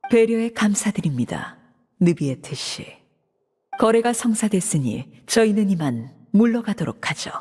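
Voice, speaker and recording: A woman voicing a cold, composed female leader in a video game, speaking in a measured, formal, controlled way. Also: mid-range voice, very animated, mellow. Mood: cool. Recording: reverberant game audio.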